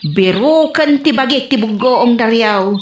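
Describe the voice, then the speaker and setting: Woman acting high, animated Ilocano village mother. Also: a little slow, background noise. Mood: grumbling. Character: comical Ilocano village mother, earthy and nosy. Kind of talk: Ilocano radio comedy drama acting. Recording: roomy, echoey voice recording.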